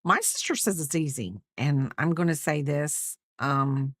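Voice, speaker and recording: A woman's voice: low-pitched, lively intonation, a little slow, no echo, clean studio sound. A middle-aged woman chatting casually as a crochet host, in a rambling way, trailing off and repeating herself. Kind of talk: casual chat while crocheting, counting stitches aloud.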